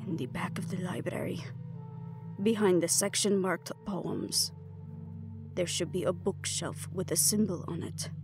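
A woman voicing a guarded, grudging, defiant old female vampire elder in an audio roleplay, speaking in a halting, solemn way. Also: on the low side, expressive, gravelly, over music. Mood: resigned.

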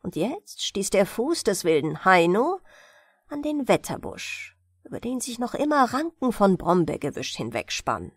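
A woman narrating a fairy tale as a bedtime audiobook, in an expressive, measured storytelling manner. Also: mid-range voice, husky, dry recording, clean studio sound.

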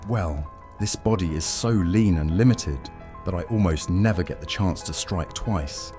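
Man acting deep-voiced, cocky young vampire soldier. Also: measured pace, warm tone, faint music behind. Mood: boastful, dry. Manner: theatrical, teasing, boastful. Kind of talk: fantasy audio drama acting.

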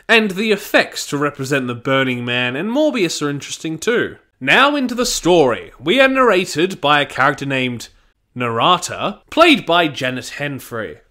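A man's voice: fairly high pitch, sharp tone. A man reading a scripted review as its host, in an opinionated manner.

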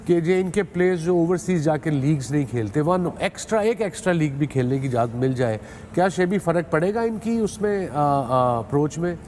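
A man's voice: fairly high pitch, lively intonation, brisk, a little mellow, in a large hall. Pakistani male TV host, faint background noise. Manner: mixes Urdu and English. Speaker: TV cricket show host. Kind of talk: studio discussion. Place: indoor TV studio.

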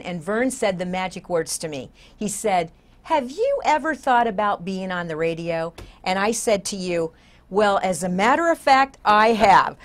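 A woman in her sixties hosting a talk show in a conversational, effusive and emphatic way. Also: medium pitch. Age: older, 60s. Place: TV studio set, seated.

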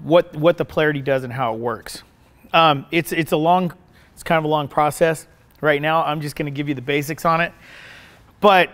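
A man's voice: a little high, fairly bright tone. A middle-aged man giving a casual welding demonstration in a workshop, folksy and conversational, often checking in with "okay?". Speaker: welding instructor. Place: large indoor welding workshop.

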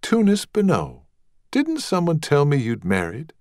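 A man's voice: on the high side, huge pitch swings, a little slow, a little mellow, dry recording, studio quality, pitched above the performer's own voice. A man voicing a genteel, curious young Southern woman in an audiobook, politely questioning.

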